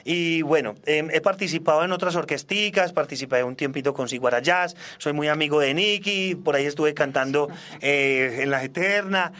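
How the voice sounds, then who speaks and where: A man's voice: a little high, moderate pace, sharp tone. A man in his forties from Australia, a native English speaker, chatting casually as a musician guest in a radio interview. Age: 40s. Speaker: salsa singer and trombonist, guest.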